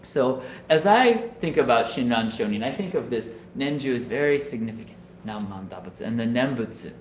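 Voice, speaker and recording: A man's voice: mid-range voice, lively intonation, a little fast, reverberant, a little hiss or hum. A man from Minnesota, a Buddhist minister, giving a conversational Dharma talk over a video call and often checking in with listeners. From Minnesota, USA. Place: home room on a video call.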